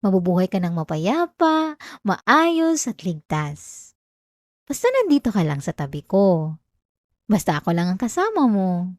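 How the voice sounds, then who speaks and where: A woman's voice: medium pitch, wildly swooping pitch, unhurried, pauses often, no echo. Girl acting as obsessive filipino yandere girlfriend, clingy n sweet but scary, asmr roleplay, super close dry mic. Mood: calm, threatening. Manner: clingy, coaxing, breaking into giggles, veiled threats. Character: possessive obsessive girlfriend, sweetly menacing, unhinged yandere lover. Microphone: close dry microphone.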